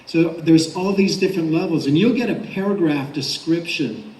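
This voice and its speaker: Middle-aged male panelist, lightly high voice, loose anecdotal delivery, echoey room sound. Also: normal speed, darkish tone. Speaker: voice director and actor, panelist. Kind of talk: casual convention panel talk. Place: large indoor convention panel room. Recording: roomy convention hall, distant mic.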